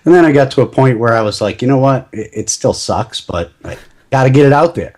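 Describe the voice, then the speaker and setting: A man's voice: mid-range voice, expressive, brisk, darkish tone. A middle-aged man talking casually as a podcast guest, chatty and self-deprecating, laughing at himself often. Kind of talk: casual interview chat.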